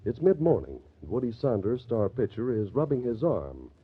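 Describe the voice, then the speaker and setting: A man's voice: mid-range voice, very animated, normal speed, slightly rough. A man performing as announcer and narrator of an old-time radio mystery drama, scripted and solemn. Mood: dramatic. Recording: thin, narrow-band old radio recording.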